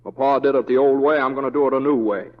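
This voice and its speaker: An actor playing an easygoing young western deputy in a radio drama, stubborn in a folksy, hesitant way. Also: male voice, medium pitch, fairly even intonation, quick pace, lightly acted. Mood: insistent. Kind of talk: 1940s radio acting. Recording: dull old radio broadcast recording.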